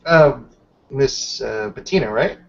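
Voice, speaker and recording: A casual, teasing female packmate of the tabletop game , voiced by a man, talks at a middling pitch. The phrasing is loose and hesitant, and the sound is a bit dull. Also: expressive, slow pace, vocal fry. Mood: hesitant, helpful.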